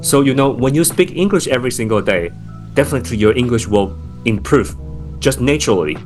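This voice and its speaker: A man's voice: medium pitch, expressive, a little fast, a little mellow, soft background music. A man from Taiwan with a Taiwanese accent, a startup founder speaking as a podcast guest, thoughtful with many fillers and restarts. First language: Chinese. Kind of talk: podcast interview.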